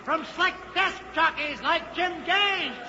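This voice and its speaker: High-pitched, shouting rebel ringleader, old radio. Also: male voice, slow pace, full character voice. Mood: angry, demanding. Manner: forceful, declamatory, defiant. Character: angry rabble-rousing engineer leading a rebellious union meeting. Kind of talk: 1950s radio acting, shouted speech to a crowd. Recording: muffled noisy old radio, crowd behind.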